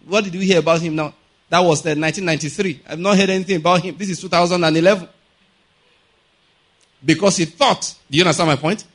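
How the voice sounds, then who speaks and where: A middle-aged Nigerian man preaching a teaching sermon, explaining point by point with repetition and frequent rhetorical checks on understanding. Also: a little high. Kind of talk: sermon, teaching with everyday examples.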